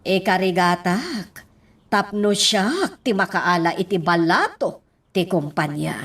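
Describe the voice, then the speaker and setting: An actress with an Ilocano accent playing a money-minded, self-assured middle-aged mother in a radio drama, drawn-out and theatrical. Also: lowish voice, a bit bright, far from the mic, heavily acted. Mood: scheming.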